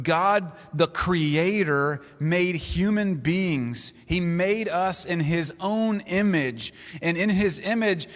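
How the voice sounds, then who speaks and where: A man delivers a sermon in a slow, measured cadence, reading scripture passages aloud. His voice sits a little high for a man. It carries through a church sanctuary with a long reverb. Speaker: pastor. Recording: long reverb of a large hall.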